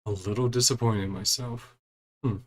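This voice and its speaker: A man's voice: on the low side, steady tone, moderate pace. A man giving casual commentary on a game as he plays, musing aloud and reading bits of dialogue. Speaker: gaming streamer.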